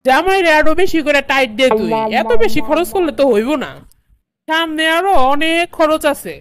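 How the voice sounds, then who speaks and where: Woman playing a high-voiced scheming Bangladeshi mother-in-law. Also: moderate pace, close to an everyday voice. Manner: goading, opinionated. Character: meddling, scheming middle-aged cartoon mother-in-law. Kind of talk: comedy cartoon dialogue.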